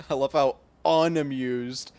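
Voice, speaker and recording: A man's voice: medium pitch, steady tone, takes it slowly, a little mellow. A young man giving casual, reactive, joking commentary as he plays through a video game. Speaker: gaming video host. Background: game music.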